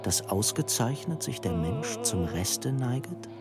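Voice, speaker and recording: Animated proud poet over loud music. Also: male voice, mid-range voice, measured pace. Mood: solemn. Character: proud, wounded, eccentric male poet. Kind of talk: expressive dramatic poetry recitation.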